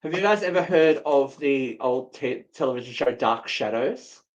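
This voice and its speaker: A man's voice: mid-range voice, expressive, moderate pace, lo-fi sound. Casual, rambling man co-hosting a show. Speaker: co-host. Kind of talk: casual chat.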